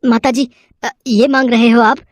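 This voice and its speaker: A woman voicing a humble male vegetable seller in an animated moral story, earnest and respectful with a pleading, hesitant manner. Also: a little high, normal speed, no echo, voice pushed up, lightly acted. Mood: worried.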